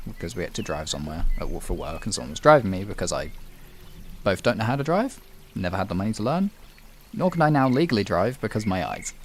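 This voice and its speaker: British man, casual livestream host, gravelly fry, lively swooping intonation, sweary rambling banter. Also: on the low side, slight background noise. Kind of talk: casual chat with stream viewers.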